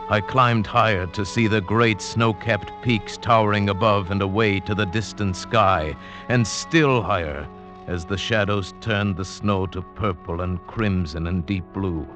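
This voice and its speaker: An actor playing an anguished, awestruck mountaineer, telling his ordeal in a poetic, measured, intense 1940s radio drama style. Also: sits low. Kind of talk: 1940s radio drama acting, first-person narration. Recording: dull vintage radio recording with music. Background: dramatic orchestral score.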